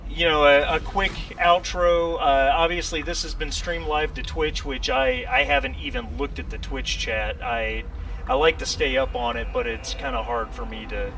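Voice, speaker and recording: Bright-voiced man hosting, halting delivery. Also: fairly high pitch, expressive, creaky, slight background noise, poor audio. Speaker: game master and host. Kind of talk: casual outro chat to players and stream viewers.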